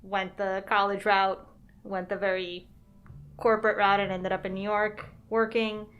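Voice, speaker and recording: A woman talking as a podcast guest about her work, relaxed and courteous, with wry asides. Her pitch sits in the middle. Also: measured pace, muffled recording, soft background music. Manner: easygoing, polite, dry humor. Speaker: chocolate maker and guest. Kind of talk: casual interview chat.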